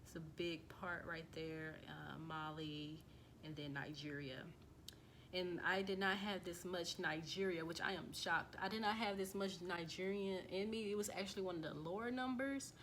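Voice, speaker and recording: A woman's voice: on the low side, normal speed, a bit bright, slight background noise. An American woman vlogging casually as she reads through results on a screen, talking loosely with lots of fillers and restarts. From United States. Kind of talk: casual talk to camera while reading results off a screen.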